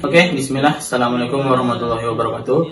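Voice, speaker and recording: A man's voice: mid-range voice, very fast, a crowd in the background. A young man, a college student, giving a casual testimonial. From Indonesia. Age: young adult. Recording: echoey, distant mic, music over voice.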